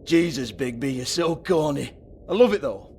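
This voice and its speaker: A foul-mouthed brothel boss. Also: male voice, a little high, expressive, moderate pace, a little mellow, a little hiss or hum. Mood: taunting. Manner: swearing, berating, mocking. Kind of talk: video game cutscene acting.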